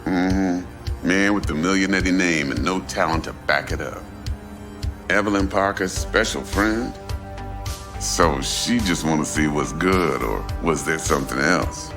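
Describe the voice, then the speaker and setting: An actor playing a big, slick crime fixer in a video game, gravelly voice and smooth, commanding manner. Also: male voice, low voice, a little slow, music in the background. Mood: smooth, businesslike. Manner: smooth, commanding, folksy slang. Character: big, slick, street-smart male crime fixer boss. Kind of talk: dramatic video game acting.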